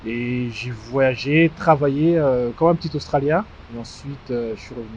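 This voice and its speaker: A man in his twenties who grew up in Strasbourg, giving conversational interview answers with frequent mid-phrase pauses. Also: mid-range voice, a little hiss or hum. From born in DR Congo, grew up in Strasbourg, France. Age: 20s. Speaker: interview guest, fashion house founder. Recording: dull muffled sound with music behind. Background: music.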